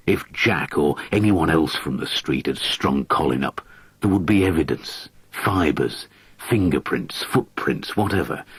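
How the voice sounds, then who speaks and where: A man reading a haunting story aloud as scripted narration, measured and dramatic, sometimes dropping to a whisper. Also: a bass voice, slightly rough, no echo. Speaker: audiobook narrator.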